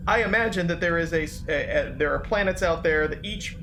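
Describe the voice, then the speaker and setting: A man's voice: a little high, brisk. Joking, rambling man at a game table. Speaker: player in a tabletop role-playing game. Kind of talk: casual improvised table chat. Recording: faint background noise.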